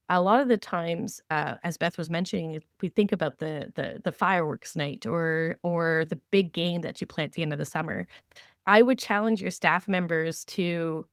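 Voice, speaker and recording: Low-voiced woman hosting a podcast. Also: no room sound, clean studio sound. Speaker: podcast host. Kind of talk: conversational training discussion.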